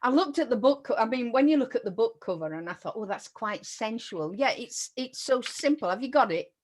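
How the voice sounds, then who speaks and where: A woman's voice: a little high, lively intonation, fairly quick. An older woman with a Yorkshire accent, hosting a casual interview chat over a video call, chatty and effusive. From Yorkshire, England. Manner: chatty, warm-hearted and effusive, with frequent laughs. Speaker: interview host.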